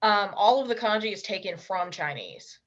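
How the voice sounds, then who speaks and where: A young woman being interviewed, talking casually and bluntly, with emphatic quick asides. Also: medium pitch, animated, highs cut off. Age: young adult. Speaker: interview guest, language learner.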